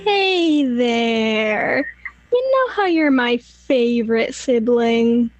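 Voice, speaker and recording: A woman's voice: fairly high pitch, animated, drawn-out words, highs cut off, faint music behind. A woman acting in a radio play as a breezy, cajoling sister who presses for favors.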